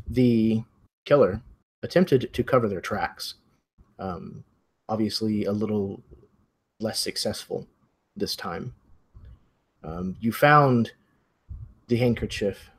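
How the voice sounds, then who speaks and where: A man working as game master, narrating an improvised mystery in a measured, hesitant way with pauses between phrases. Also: mid-range voice, breathy edge.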